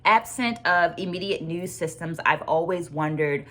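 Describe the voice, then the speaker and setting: A woman with a slightly low voice speaks as the host of an online book club. She presents her notes and thoughts deliberately, leaning on key phrases and repeating them for emphasis. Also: sharp tone. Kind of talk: book discussion vlog with takeaways and read quotes.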